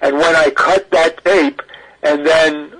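An older man as an interview guest, reminiscing in a halting way as he thinks aloud. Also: a little high, fairly even intonation, a bit bright, poor audio. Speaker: guest archivist.

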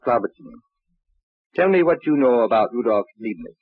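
Actor as husky, commanding police inspector, old radio. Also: male voice, medium pitch, lively intonation, normal speed, no room sound, close to an everyday voice. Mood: brisk, stern. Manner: authoritative, clipped, matter-of-fact. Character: brisk, commanding male police inspector questioning suspects. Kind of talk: 1940s radio drama acting. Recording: muffled, narrow old radio recording.

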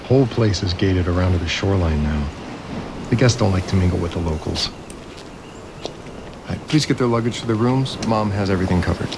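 A man's voice: low-pitched, brisk, pauses often, background noise. An actor playing a grieving, overwhelmed resort heir in an audio drama, hesitant and apologetic, placating whoever he talks to. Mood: businesslike. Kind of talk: naturalistic audio drama acting.

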